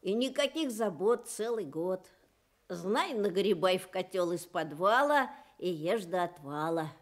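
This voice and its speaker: A woman's voice: fairly low pitch, lively intonation, a little slow. A woman voicing a lazy, self-satisfied male wild boar, musing and boastful, in a children's radio story.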